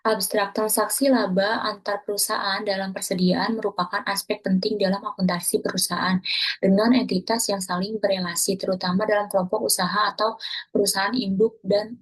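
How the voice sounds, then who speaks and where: A woman's voice: mid-range voice, fairly even intonation, fairly quick, slightly rough, poor audio. A young woman student presenting an accounting class lesson, reading steadily from her slides and correcting small slips as she goes.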